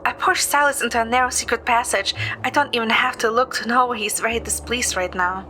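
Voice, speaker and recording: A woman's voice: a little high, fairly even intonation, fairly quick, pushed and bright. A woman reading scripted first-person story narration. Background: music.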